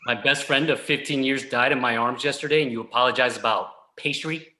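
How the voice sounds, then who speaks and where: A man's voice: mid-range voice, steady tone, a little fast, sharp tone, echoey room, lightly acted, poor audio. A man playing a bereaved, hostile office boss in a comedy table read, curt, indignant and dramatic. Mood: aggrieved, indignant.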